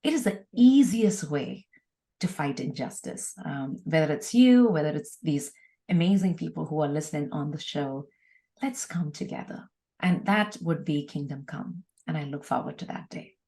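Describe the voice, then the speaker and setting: A woman's voice: sits low, expressive, normal speed, dark tone. A woman talks as an interview guest. She is earnest and emphatic, repeating key phrases to encourage her listeners. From India. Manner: earnest, emphatic, with rhetorical repetition. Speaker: podcast guest, ministry leader. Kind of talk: conversational interview, encouraging testimony.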